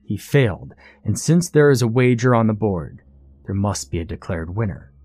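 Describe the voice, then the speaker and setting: A man's voice: fairly low pitch, lively intonation, normal speed, darkish tone, breathy edge, no room sound. Man acting a determined, argumentative everyman contestant. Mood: emphatic, triumphant. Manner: assertive, argumentative, matter-of-fact. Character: determined, clever male contestant, a loophole-hunting everyman.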